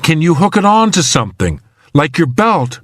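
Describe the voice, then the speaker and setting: An audiobook heroine leading a rescue, performed by a male narrator. Her lines are pitched up and lively, firm, urgent and encouraging. The sound is dry. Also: moderate pace, no room sound. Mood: reassuring. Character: steady, determined woman rescuer, reassuring under pressure. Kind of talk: audiobook character dialogue.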